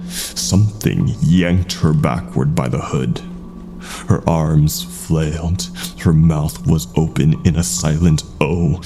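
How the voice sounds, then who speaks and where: A man narrating a scripted horror story in a dramatic, suspenseful way with drawn-out pauses. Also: extremely low pitch, gravelly.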